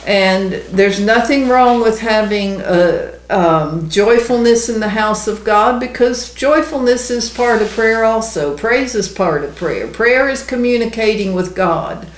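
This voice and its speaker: A woman's voice: on the high side, unhurried, few pauses. Woman, 60s. Emphatic, earnest Bible teacher, conversational, recorded in an echoey room. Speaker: ministry co-host, Bible teacher. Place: small indoor room. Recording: roomy, echoey indoor sound.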